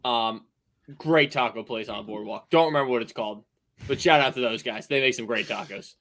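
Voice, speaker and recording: An outspoken man co-hosts a casual sports podcast over a video call. His voice sits at a middling pitch, and he speaks his mind without softening it. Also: brisk. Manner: blunt, outspoken. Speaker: podcast co-host.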